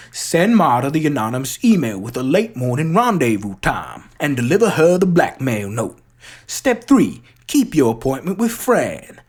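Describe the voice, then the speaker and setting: A performer reciting as a theatrical, shrewd Southern gentleman detective with a drawling American Southern accent. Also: mid-range voice. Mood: insinuating, building. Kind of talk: dramatic recitation of a film monologue.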